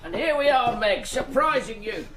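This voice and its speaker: A man's voice: very high-pitched, lively intonation, normal speed, background noise. An actor playing a kindly, poor old ticket porter in a radio drama, stammering and repeating himself as he fusses. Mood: eager, coaxing. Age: older.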